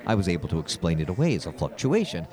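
An actor playing a nervous, formal scientist in an audio drama, explaining himself hesitantly and earnestly. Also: male voice, deep voice, very animated, fast talker, a crowd in the background. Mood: guarded, explaining. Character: nervous male scientist, evasive, earnest about his invention.

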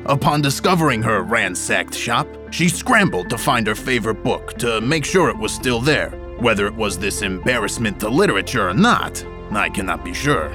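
A man's voice: on the low side, expressive, normal speed, hardly any pauses, music in the background, natural voice. An actor playing an eccentric male butcher turned coroner, blunt, muttering and matter-of-fact. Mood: clinical.

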